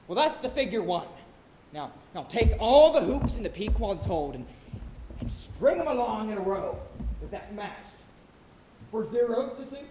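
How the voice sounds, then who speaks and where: A man on stage playing a boastful, excitable sailor with comic, blustering bravado. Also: high-pitched. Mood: boastful, teasing. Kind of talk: theatrical stage acting. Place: college theatre stage. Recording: roomy auditorium stage recording, some hiss.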